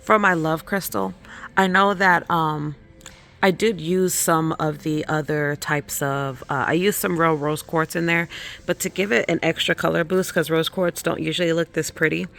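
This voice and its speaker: A woman hosting her skincare channel, hoarse and losing her voice, chatting casually with lots of ums and likes as she walks through a craft store. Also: deep voice, fairly bright tone. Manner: chatty, many fillers like um and like. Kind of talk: casual shopping vlog walkthrough.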